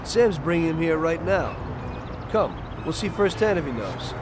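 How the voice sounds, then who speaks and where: Level-headed young gangster in a game cutscene; a bit high-pitched, firm and wary, street noise behind. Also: male voice, very animated, moderate pace. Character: cautious young male mob driver, level-headed.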